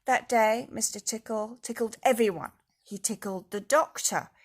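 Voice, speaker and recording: A woman reading a children's storybook aloud in an expressive way, speaking directly to young listeners. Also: fairly high pitch, normal speed, slight background noise. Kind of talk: storybook read-aloud with casual asides.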